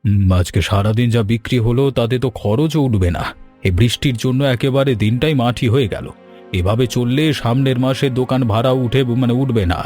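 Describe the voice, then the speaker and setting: A man's voice: medium pitch, brisk, darkish tone, faint music behind, lightly acted. A man voicing a worried, gloomy middle-aged village sweet-shop owner, lamenting in a cartoon story. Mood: anxious dismay. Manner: fretful, lamenting.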